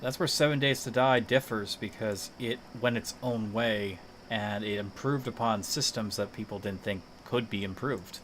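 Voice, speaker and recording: A man's voice: on the low side, moderate pace, hardly any pauses, fairly bright tone, a little hiss or hum. A man chatting casually and thinking aloud as he streams a video game, in a playful manner.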